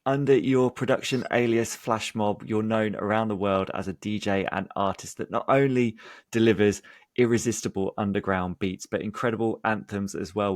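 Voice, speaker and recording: Low-voiced podcast host, dry sound. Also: male voice, dry recording. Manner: warm scripted intro then relaxed prompting. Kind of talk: interview hosting.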